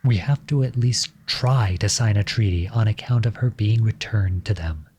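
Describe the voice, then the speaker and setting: A man's voice: lowish voice, normal speed, mellow, husky. A man playing an impassioned, defiant young prince turned soldier in a scripted audio roleplay, with an earnest, dramatic delivery. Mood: urgent, pleading.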